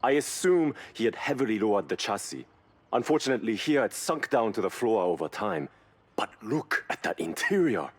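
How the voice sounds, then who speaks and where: Husky, expressive male mechanic. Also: fairly low pitch, normal speed. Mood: admiring, thoughtful. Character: Yuji, earnest, reverent male car mechanic. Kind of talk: video game cutscene voice acting.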